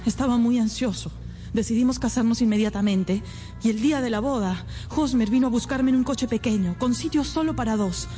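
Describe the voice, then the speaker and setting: High-voiced, lovelorn young woman played by an actress. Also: a little fast, warm tone, faint music behind, close to an everyday voice. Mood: troubled. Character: anxious, lovelorn young woman client, naive and devoted. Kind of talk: Spanish-language radio drama acting.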